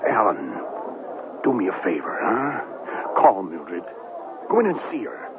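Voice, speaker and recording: Vain deep-voiced newsman, swooping delivery. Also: very animated, a little slow. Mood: aggrieved, pleading. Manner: theatrical, boastful. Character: vain, wheedling, self-pitying male TV newsman. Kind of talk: 1970s radio drama acting. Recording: tinny, hissy 1970s radio broadcast.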